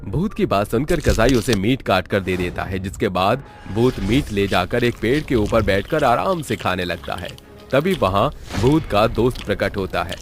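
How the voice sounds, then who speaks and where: A man narrating a cartoon story in a steady storytelling manner. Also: lowish voice, moderate pace, faint music behind.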